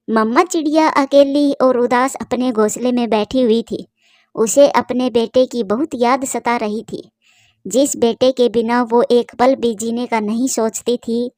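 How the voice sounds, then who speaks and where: High-pitched woman narrating a story. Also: darkish tone, dry recording, studio quality. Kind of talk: scripted cartoon story narration.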